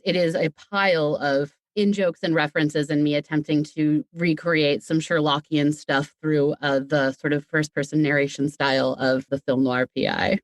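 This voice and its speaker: A woman with a slightly low voice talks as a guest on a podcast. She is talkative and playful, keeping things conversational. The recording is dry, with hardly any room sound. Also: no room sound. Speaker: podcast guest, audio drama creator. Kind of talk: casual interview chat.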